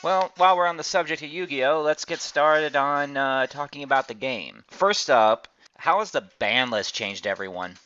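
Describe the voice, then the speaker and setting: A man's voice: fairly high pitch, expressive, normal speed, hardly any pauses, pushed and bright, clean tone. A man hosting a casual podcast over online voice chat with dry, teasing delivery and slightly dull sound. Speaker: podcast host.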